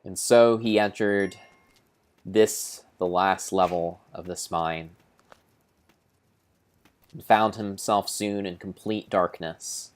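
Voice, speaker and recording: Deep-voiced man narrating a game dramatically. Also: fairly even intonation. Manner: grave, theatrical, deliberate phrasing with pauses. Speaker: streamer narrating his gameplay. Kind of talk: dramatic storybook-style narration of video game play.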